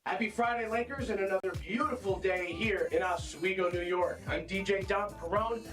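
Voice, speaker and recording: A man's voice: sits high, normal speed, far from the mic, over music. A man announcing a college radio station as its DJ in an upbeat promo.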